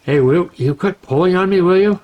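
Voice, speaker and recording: A man's voice: high-pitched, a little fast, lo-fi sound, pitched above the performer's own voice, close to an everyday voice. A man performs a wary young adventurer from a fantasy game. He is the cautious friend, grumbling and exasperated in how he talks. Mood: annoyed.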